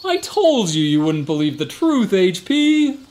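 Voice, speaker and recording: A man's voice: sits high, big pitch swings, unhurried, a little mellow. A man playing a boastful, evasive security guard in a comic radio drama, folksy, slangy and given to exaggeration. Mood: earnest. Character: boastful, evasive tough-guy security guard, a fibbing male cousin.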